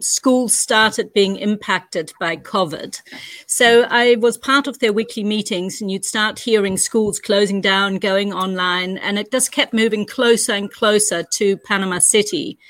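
A woman's voice: mid-range voice, a bit bright, no echo. New Zealand woman, school director, articulate storyteller, dry sound. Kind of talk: podcast interview.

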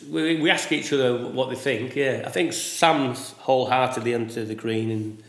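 A man who is a sheep farmer, talking casually in a loud voice and often ending with tag questions. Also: medium pitch, steady tone, moderate pace, roomy sound. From England. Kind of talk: casual conversation.

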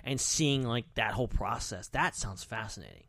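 A man's voice: on the low side, animated, moderate pace, creaky, fairly bright tone, no room sound, studio quality. A man co-hosting a podcast in casual chat, talking informally in loose fragments peppered with "like". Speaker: podcast co-host.

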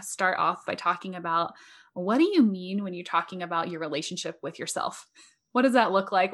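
Upbeat woman podcast host. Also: medium pitch. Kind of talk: conversational podcast interview.

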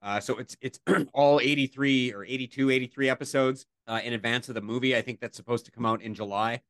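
A man co-hosting a podcast, giving loose, relaxed recommendations in casual chat. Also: mid-range voice, a little fast, no echo. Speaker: podcast co-host.

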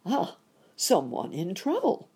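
A kindly gentleman rabbit from a children's storybook, voiced by a woman. He is courteous and wise, gently instructive, with a low, creaky voice. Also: big pitch swings, unhurried, heavily acted. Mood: concerned, helpful.

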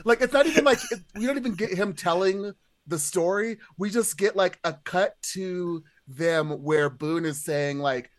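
A man with a slightly high voice chats about a movie in a dry, echo-free recording. He is amused and casual, and his sentences come haltingly, padded with filler "likes". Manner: halting, amused, heavy use of "like". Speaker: podcast panelist discussing a film. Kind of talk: casual chat.

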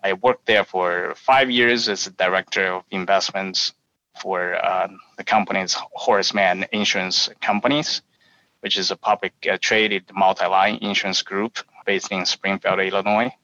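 A man in his forties with a Chinese accent, a guest on a podcast interview, talking in a measured way with many fillers. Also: deep voice, fairly even intonation, sharp tone, dry recording. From China. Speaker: guest, insurance investment professional. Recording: dull, lo-fi sound.

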